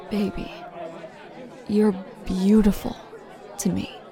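A woman's voice: medium pitch, takes it slowly, a faint crowd, close to an everyday voice. A woman playing a fiercely protective, loving young girlfriend who speaks straight to the listener as "baby" in a roleplay audio. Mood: tender.

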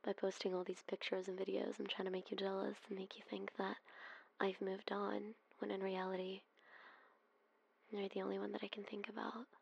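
A woman doing a whispered ASMR roleplay, speaking in soft, breathy whispers with frequent sighs. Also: mid-range voice, little pitch movement, moderate pace, lo-fi sound.

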